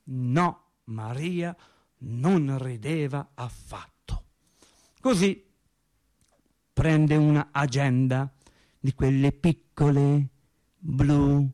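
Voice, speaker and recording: An actor playing an unread, plainspoken factory husband, telling his story in an animated, colloquial radio-theatre monologue with hesitant repetitions. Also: a little high. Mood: rueful.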